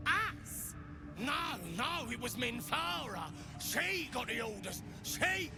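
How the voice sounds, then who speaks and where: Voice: high voice, sing-song, takes it slowly, vocal fry, exaggerated voice. An actor voicing a panicked, pleading goblin underling with coarse grammar in a video game. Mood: protesting. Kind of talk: video game character acting. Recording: game audio with music behind.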